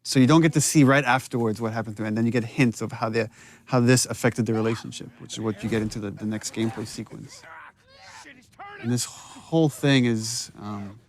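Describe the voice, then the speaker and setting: A man's voice: medium pitch, fairly even intonation, fairly quick. A man giving casual director's commentary over game cutscenes, speaking as a game writer and director.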